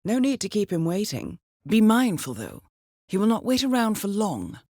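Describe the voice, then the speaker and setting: An actress playing a composed, dryly witty intelligence handler in a video game, briefing an assassin in a formal, understated, ironic manner. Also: female voice, medium pitch, very animated, moderate pace, warm tone, no room sound, studio quality. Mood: composed, businesslike. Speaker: mission handler.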